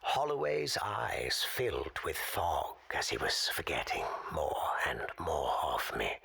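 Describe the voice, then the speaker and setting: An actor playing a weary, sinister old man in an audio drama, telling his tale formally and theatrically in a hoarse, breathy rasp with dramatic pauses. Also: low voice, pushed and bright, full character voice, poor audio. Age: older.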